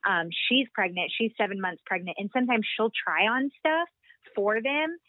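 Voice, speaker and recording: A woman's voice: medium pitch, lively intonation, normal speed, dry recording. Woman in her twenties, chatty, upbeat, tinny phone line, dry sound. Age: 20s. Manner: chatty, upbeat, lots of fillers. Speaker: guest, boutique founder. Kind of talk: casual phone interview.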